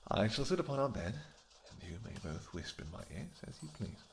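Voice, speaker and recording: Man voicing a vampire king, deep-ish gravelly tone, playful and affectionate, soft murmuring delivery. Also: sing-song, fairly quick, slight background noise. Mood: flirty teasing. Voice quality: gravelly, low. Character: royal vampire king, adult male, flirtatious. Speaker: audio roleplay performer. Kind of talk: audio roleplay acting.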